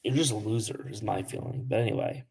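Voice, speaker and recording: Young male livestream host, casual, filler-heavy, mellow tone. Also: on the low side, huge pitch swings, fast, creaky, husky. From Polish. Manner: chatty, lots of "like", blunt toward trolls. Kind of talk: casual livestream Q&A, reading comments.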